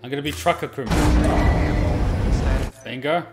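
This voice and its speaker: A man's voice: mid-range voice, normal speed, background noise. A man giving casual gameplay commentary as a streamer, talking to himself and swearing now and then. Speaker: game streamer.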